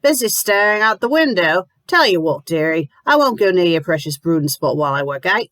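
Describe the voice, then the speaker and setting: A woman voicing a brisk, bossy middle-aged landlady who talks firmly and sternly. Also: lowish voice, big pitch swings, fairly bright tone, no room sound. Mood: brisk cheerful.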